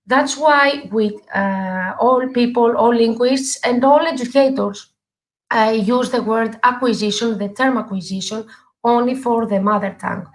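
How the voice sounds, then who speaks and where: A middle-aged woman from Greece, a native Greek speaker and English teacher, giving an earnest live online talk with many pauses and fillers. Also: on the high side, rough recording. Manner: earnest, halting with frequent fillers.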